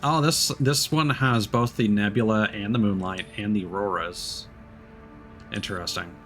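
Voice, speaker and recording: A man's voice: fairly low pitch, moderate pace, fairly bright tone, soft background music. A man doing casual live game-stream commentary, thinking aloud in a rambling way.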